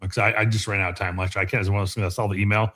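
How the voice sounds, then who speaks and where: A man's voice: fairly low pitch, little pitch movement, quick pace, a bit bright. A man hosting a casual panel chat in an informal, self-deprecating way. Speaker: show host.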